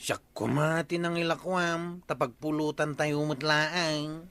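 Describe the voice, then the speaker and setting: A man's voice: on the high side, fairly even intonation, slow, a bit bright, smooth. A man playing a cheerful, nosy neighbor who asks curious questions in a comic radio drama. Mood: jovial.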